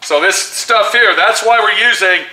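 A man's voice: sits high, measured pace, bright, forceful tone, echoey room, rough recording. A middle-aged man demonstrating a spray gun, plain-spoken and instructive, recorded in a small paint booth. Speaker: auto painter demonstrating tools. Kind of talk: hands-on tool demonstration.

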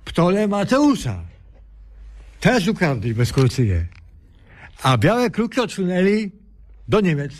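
A man's voice: high voice, sing-song, takes it slowly, pauses often, heavily acted. An actor playing a flustered, plaintive elderly watchman in a radio comedy sketch, with muddled rustic speech. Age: older. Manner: rustic, ungrammatical, lamenting exclamations.

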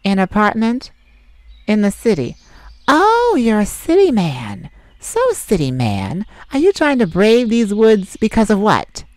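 A woman's voice: mid-range voice, huge pitch swings, a little slow, darkish tone, no echo. A woman voicing a sassy, snarky male forest fae in an ASMR roleplay, teasing and blunt, recorded on a close mic.

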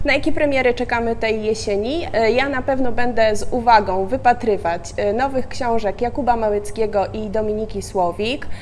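A woman's voice: a little high, fairly even intonation, moderate pace, hardly any pauses, darkish tone, slight background noise. A middle-aged woman from a bookshop, enthusiastically promoting new books and a book fair. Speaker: bookshop staff. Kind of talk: promotional announcement. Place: indoor bookshop.

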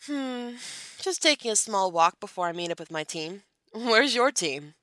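A woman playing a gentle, friendly young teacher in an amateur anime-style drama. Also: mid-range voice, animated, normal speed, dry recording, lightly acted. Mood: fond.

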